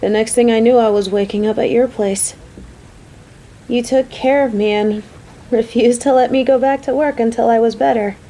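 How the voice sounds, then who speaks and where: A motherly young-at-heart female coworker, acted by a woman, speaks in a high, mellow voice. She is smitten and fretful, talking straight to the listener with earnest affection, as in a romantic audio drama. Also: steady tone, moderate pace, slight background noise, close to an everyday voice, low-quality recording. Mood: tender, earnest. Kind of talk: scripted romantic audio roleplay spoken to the listener.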